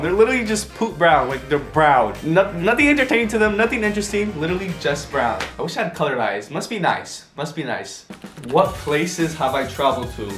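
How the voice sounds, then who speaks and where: A man's voice: fairly high pitch, a bit bright, reverberant. A young man in his late teens chatting casually and playfully, answering questions about himself to camera. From United States. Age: young adult. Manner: bubbly and playful, quick laughs and jokey asides. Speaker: social media influencer.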